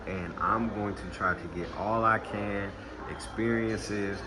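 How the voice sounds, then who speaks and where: A man's voice: on the low side, fairly even intonation, takes it slowly, crowd noise. A man from Texas hosting a casual interview, talking in a laid-back, slangy way. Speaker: host. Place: indoors in Puerto Rico.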